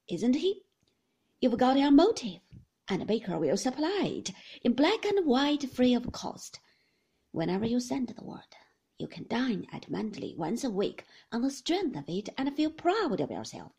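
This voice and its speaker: A rattled, bitter blackmailer, jerky and mocking, his voice husky and gravelly, as a woman reading aloud puts him on. He talks with lively swings of pitch. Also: full character voice. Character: shaken, sneering blackmailer man.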